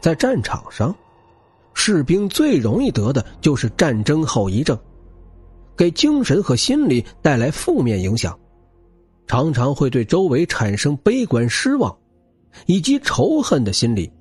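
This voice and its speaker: A man's voice: a little high, wildly swooping pitch, measured pace, darkish tone, husky, no room sound. A man narrating an audiobook novel in a dramatic, scripted storytelling style, with music behind him. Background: background music.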